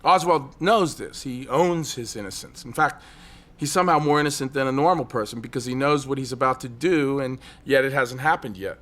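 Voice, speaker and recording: An actor playing a brooding, desperate man on a ledge in an audio drama, telling his story in an intense, halting, obsessive way. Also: medium pitch, fairly bright tone, lightly acted. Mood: absorbed, intense. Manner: intense, halting, obsessive monologue with repeated phrases.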